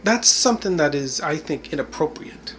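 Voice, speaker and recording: A man's voice: fairly high pitch, expressive, normal speed, a bit bright, slight background noise. A man in his forties talking to the camera in a rambling, reflective monologue, full of "you know" and "I mean" and bits of laughter. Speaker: vlogger. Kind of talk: casual spoken monologue.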